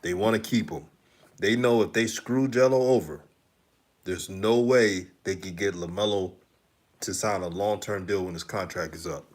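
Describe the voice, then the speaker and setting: A man's voice: deep voice, normal speed. A man from Houston with a slight Texan accent, hosting a live stream, chatting loosely and laughing often while reading comments. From Houston, Texas. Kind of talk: casual live-stream talk, reading the chat.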